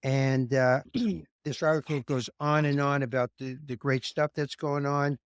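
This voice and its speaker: A man's voice: medium pitch, little pitch movement, a little slow, clear, resonant tone, dry recording, clean studio sound. A man from New York hosting a podcast interview, asking questions in a loose, rambling way. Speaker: podcast host. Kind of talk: casual interview.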